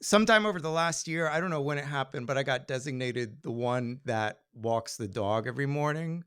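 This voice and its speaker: A man telling a personal story live on stage in a conversational, halting, self-deprecating way. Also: mid-range voice, a bit bright, clean tone, faint music behind.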